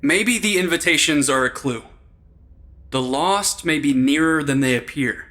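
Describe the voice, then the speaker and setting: An actor playing a wry, loyal investigator in an audio drama, casual in delivery with a dry way of talking. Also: male voice, on the high side, lively intonation, a little slow, sharp tone. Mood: puzzled. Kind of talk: supernatural comedy audio drama acting.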